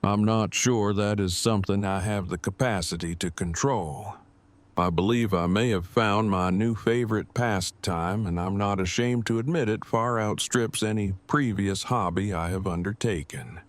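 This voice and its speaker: A man's voice: lowish voice, normal speed, no room sound, lightly acted. An actor playing an earnest, long-winded nerdy man in an audio drama, with formal and precise wording. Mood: smitten, matter-of-fact. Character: earnest, awkward, verbose nerdy man newly in love.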